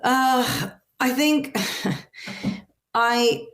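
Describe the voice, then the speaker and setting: A woman's voice: high voice, fairly even intonation, drawn-out words, fairly bright tone. A middle-aged woman whose first language is Ukrainian, giving earnest, heartfelt interview answers as a translator, often restarting her sentences. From Ukrainian diaspora, raised speaking Ukrainian.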